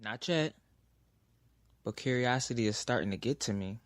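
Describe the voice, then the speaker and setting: An actor playing a young man in love in an audio drama, speaking earnestly and in a measured way. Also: mid-range voice, lightly acted. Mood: tender.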